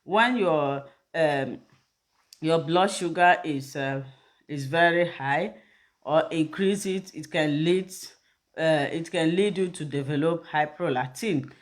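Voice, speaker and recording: A woman's voice: low voice, slow. Nigerian woman rambling through health tips. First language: Igbo. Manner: rambling, repeats phrases, direct appeals to viewers. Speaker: health channel host. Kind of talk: casual live video talk.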